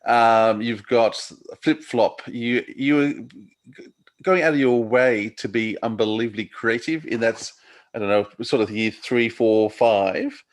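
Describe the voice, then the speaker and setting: Male podcast interviewer, medium pitch, conversational and slightly tentative questioning. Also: fairly even intonation, a little slow.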